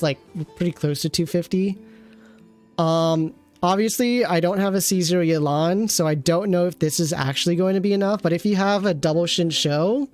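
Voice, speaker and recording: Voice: medium pitch, a little mellow. A game streamer reviewing an account with casual commentary, thinking aloud and often trailing off mid-thought.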